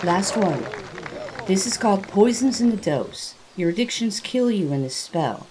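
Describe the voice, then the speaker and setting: A woman playing an earnest female bard and spellcaster in a fantasy audio drama, with a soft, husky voice. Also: mid-range voice, expressive, measured pace, background noise, lightly acted. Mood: grave.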